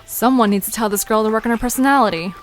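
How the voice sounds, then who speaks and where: A woman's voice: fairly high pitch, racing, soft background music, lightly acted. A woman playing a curious, eager, chatty young visitor in a conversational audio drama. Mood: incredulous. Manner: inquisitive, reactive. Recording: background noise with outdoor ambience.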